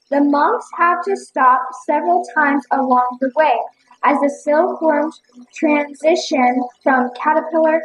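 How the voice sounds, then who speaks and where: A woman giving measured, scripted narration for an audio play. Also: high-pitched, low-quality recording.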